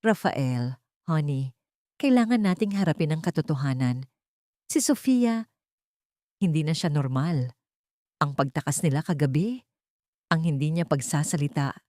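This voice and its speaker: Narrator as scheming female villain, audiobook drama; soft insinuation with a cutting edge, very varied pitch, dry. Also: lively intonation, measured pace, pauses often, no echo. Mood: falsely sweet. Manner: silky, insinuating, turning sharp. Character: sly, soft-spoken manipulative villainess.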